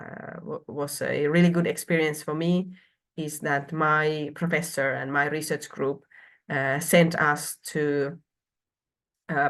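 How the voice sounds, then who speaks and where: A woman's voice: sits low, a bit bright. A woman from Finland in her thirties presenting a university program online, with frequent hesitations and filler sounds.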